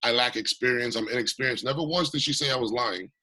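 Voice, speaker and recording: A man with a husky, raspy voice talks with passion and emphasis as an interview guest, still recovering from an illness. His tone is bright and forward. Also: medium pitch, fast talker. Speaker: congressional candidate, guest. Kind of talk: remote interview.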